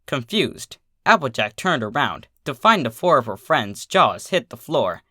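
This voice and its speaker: An expressive storyteller reads scripted fiction in a bright, forward voice. The pitch moves around in a lively way, and the sound is dry and close. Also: a little slow, no room sound. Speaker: fan fiction reader.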